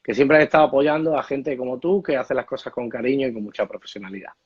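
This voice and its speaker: A man's voice: mid-range voice, fast talker, breathy edge. A man hosting a casual interview, asking long, rambling questions full of quoted asides. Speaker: host bartender interviewer. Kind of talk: casual interview over video call. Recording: muffled lo-fi call audio.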